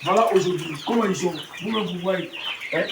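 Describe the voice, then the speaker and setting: A man in his fifties with a Malian French accent shows off his poultry farm, proud and repetitive, often restarting his words. His voice sits high for a man. It is heard from a distance in an echoey space, with clear farm noise and clucking behind him. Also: a little fast. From Mali. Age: 50s. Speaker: poultry breeder. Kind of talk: farm tour talk to camera. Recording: echoey, far from the mic, noisy farm.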